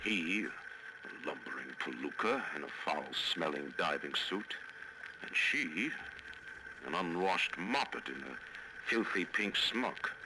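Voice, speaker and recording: A brooding, disdainful man of power with an extremely deep voice. He speaks slowly and grandly, in florid oratory, for a game audio diary. The recording has clear old-radio noise. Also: expressive, heavily acted. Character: pompous, brooding male magnate, grave and disdainful. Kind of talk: dramatic audio diary monologue in a video game.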